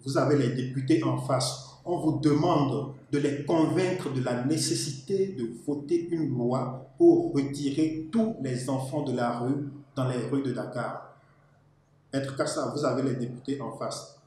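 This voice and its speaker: A man hosting a formal journalistic interview, speaking in a measured, structured way. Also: mid-range voice, mellow. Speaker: interviewer, host. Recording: very echoey room, faint background noise.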